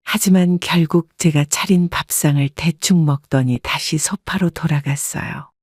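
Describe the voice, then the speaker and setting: A woman narrating a family story in a calm, measured way, recorded on a close studio mic. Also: deep voice, no echo.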